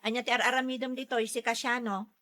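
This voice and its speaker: A woman plays an unforgiving family friend in an Ilocano drama. Her bright, somewhat high voice is reproachful and carries an Ilocano accent. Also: steady tone, quick pace, natural voice. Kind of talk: Ilocano radio-style drama acting.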